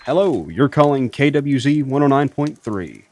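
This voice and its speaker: A man acts a composed late-night soul DJ in a radio drama. His voice is mid-pitched, measured and polite, with faint noise and clicks on the recording. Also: animated, a little mellow, natural voice. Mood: on-air showman.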